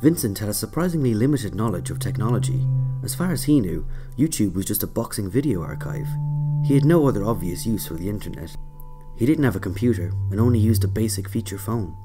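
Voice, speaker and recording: A man narrating a scripted autobiographical story in a measured, dry way. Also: lowish voice, lively intonation. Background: loud music.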